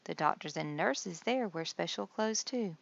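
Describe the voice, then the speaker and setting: A woman's voice: lowish voice, expressive, a little slow, vocal fry, highs cut off, heavily acted. A woman voicing a kindly nurse in a children's storybook, patiently explaining things and reassuring young listeners.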